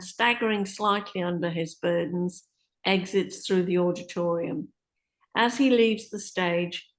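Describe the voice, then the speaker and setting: Woman narrating stage directions, medium pitch. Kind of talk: scripted narration.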